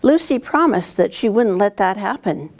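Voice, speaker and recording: A woman's voice: mid-range voice, animated, moderate pace, gravelly, a little mellow. Older woman reading a storybook aloud. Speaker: town councillor reading to students. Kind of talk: read-aloud storybook narration. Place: indoors, library corner with bookshelves.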